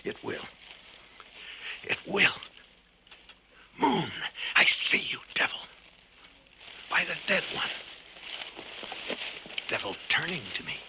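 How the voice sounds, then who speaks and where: An actor playing a frightened, exhausted lion hunter in a 1980 radio drama monologue, muttering to himself in halting, repeated fragments. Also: male voice, fairly high pitch, big pitch swings, sharp tone, heavily acted. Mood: anxious, stalking. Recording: noisy old radio broadcast recording.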